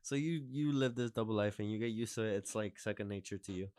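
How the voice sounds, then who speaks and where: A man's voice: lowish voice, fast, darkish tone, smooth, no echo, clean studio sound. A man in his twenties hosting a podcast, chatting casually, rambling and restarting his sentences. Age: 20s. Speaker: podcast host. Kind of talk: casual chat.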